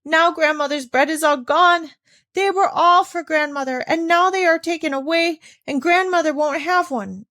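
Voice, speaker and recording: A woman's voice: extremely high pitch, normal speed, sharp tone, pitched above the performer's own voice. A woman reading a storybook as an earnest, distressed, homesick little Swiss girl, pleading as she speaks. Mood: upset, desperate. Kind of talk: children's storybook character reading.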